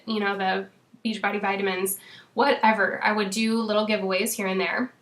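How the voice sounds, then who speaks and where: A woman in her thirties, a fitness coach, talking casually and encouragingly to her team. She is losing her voice and often fills pauses with "um" and "you know". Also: medium pitch, a bit bright. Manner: chatty, frequent "um" and "you know". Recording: echoey room.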